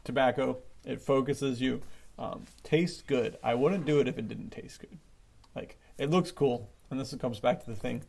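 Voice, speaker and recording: Guy podcast host just chatting, bit of vocal fry, jokey and laid-back wording. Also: medium pitch, moderate pace, darkish tone. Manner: informal, jokey, chuckles often. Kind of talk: casual chat.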